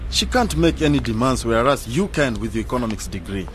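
A man's voice: mid-range voice, sing-song, brisk, a little hiss or hum, lightly acted. A man acting in a radio drama as a stubborn, sceptical young graduate who is frustrated and argumentative. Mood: fed up, insistent. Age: young adult. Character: frustrated, stubborn young male sociology graduate set on emigrating.

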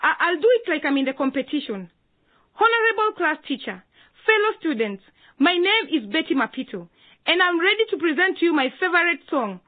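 A woman's voice: very high voice, sing-song, fairly quick, narrow, tinny audio. An actress playing a shy, nervous young schoolgirl in a radio drama, hesitant and timid. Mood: formal, presenting.

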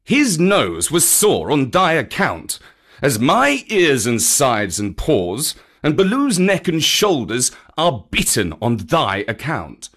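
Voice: mid-range voice, huge pitch swings, slow pace, a bit bright, exaggerated voice. A narrator voicing a grave, stern panther. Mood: reproachful.

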